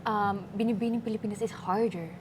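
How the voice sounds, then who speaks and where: A young woman from the Philippines, a pageant queen, talking casually in an interview, relaxed, slipping Tagalog words in with plenty of "you know" and "um". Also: medium pitch, fast talker. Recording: background noise.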